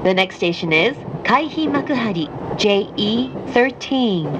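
A woman's voice: lively intonation, slow. A woman train announcer reading scripted station announcements in a formal, carefully enunciated way. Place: inside a train car, played over speakers. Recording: muffled, noisy recording inside a moving train. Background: train rumble and noise.

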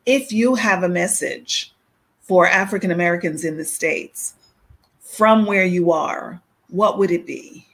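A middle-aged woman hosting over a video call, asking questions warmly and reading guest introductions in a measured way. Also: lowish voice. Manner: warm, affirming, measured reading. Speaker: host. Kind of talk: interview and formal guest introductions. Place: home room, indoors.

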